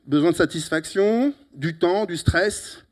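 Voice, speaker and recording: A middle-aged man from Italy with an Italian accent, giving a lively, teasing closing talk at a conference on a handheld mic, with sudden loud emphatic bursts. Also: high voice, unhurried. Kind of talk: lively, joking conference speech. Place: conference hall. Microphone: handheld microphone.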